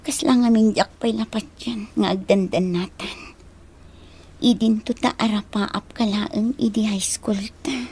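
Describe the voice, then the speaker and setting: A woman's voice: mid-range voice, steady tone, measured pace, darkish tone, breathy edge. An actress playing an earnest, hesitant young woman confessing to a sweetheart in a radio drama, with an Ilocano accent. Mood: serious, confessing. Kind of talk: Filipino radio drama acting.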